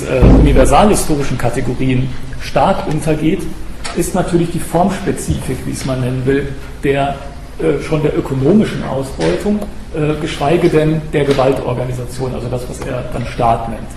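A slightly hoarse man giving an academic lecture, speaking straight to his audience with frequent hesitation sounds and restarts. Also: medium pitch, normal speed. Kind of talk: academic lecture on political theory. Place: lecture room. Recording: echoey room recording with background noise.

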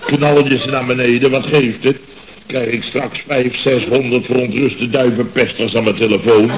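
A man's voice: mid-range voice, fairly even intonation, moderate pace, lightly acted. A man playing an exasperated, sarcastic building-firm boss in a radio comedy, blustering and incredulous. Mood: exasperated sarcasm. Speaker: comedy actor. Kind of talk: 1970s Dutch radio comedy acting. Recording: muffled, noisy old radio recording.

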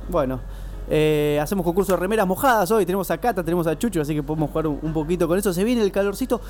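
A man with a Rioplatense accent hosting a radio show, lively and jokey as he bantera and introduces guests. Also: high voice, very fast, faint music behind. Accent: Rioplatense Spanish. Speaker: radio host.

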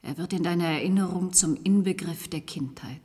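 A woman's voice: on the low side, fairly quick, mellow, lightly acted. An actress playing a haunted, pleading woman back from space in a German radio play, dramatic and intense, with a long reverb on her voice.

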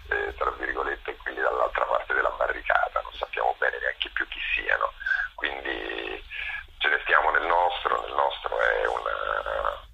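A man being interviewed as a theatre artist, speaking thoughtfully and hesitantly, often pausing to search for words. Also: very deep voice, gravelly, pushed and bright, husky, muffled recording. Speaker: theatre actor-director, interview guest.

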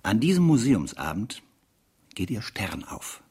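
A man's voice: on the low side, wildly swooping pitch, a little slow, a little mellow, husky, full character voice. An actor playing a sardonic, weary male investigator who narrates a spy case theatrically in a radio play. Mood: ironic storytelling. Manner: sardonic, theatrical, rhetorical asides.

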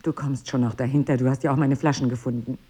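An actress in a radio drama playing a sardonic, defensive wife with a husky, breathy voice and terse, biting delivery. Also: female voice, rumbling low, steady tone, quick pace, dark tone. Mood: cold, sardonic. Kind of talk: intimate German radio play dialogue.

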